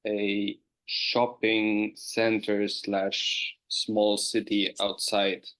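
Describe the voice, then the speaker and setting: A man's voice: on the low side, monotone, extremely slow, a bit bright, low-quality recording. A man who is a guest on a podcast, chatting casually over a call and stopping often to search for words. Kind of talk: casual chat.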